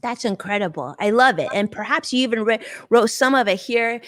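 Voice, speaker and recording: A woman's voice: a little high, animated, a little fast. A woman hosting an interview, enthusiastic and affirming toward her guest. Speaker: show host.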